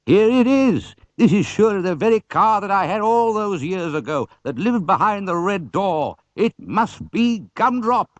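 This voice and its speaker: A British man voicing an excitable, sentimental gentleman in a storybook, speaking emphatically. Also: sits high, moderate pace, few pauses, no room sound, highs cut off, voice pushed up. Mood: excited. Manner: emphatic, exclamatory. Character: excitable, sentimental gentleman who loves old cars.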